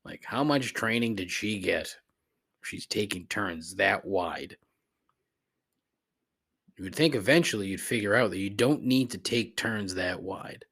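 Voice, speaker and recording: A man's voice: lowish voice, unhurried, long pauses, fairly bright tone, breathy edge. A man hosting a podcast, rambling casually and profanely as he responds to listeners with conversational gripes. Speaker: podcast host. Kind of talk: casual rambling solo commentary, answering voicemails.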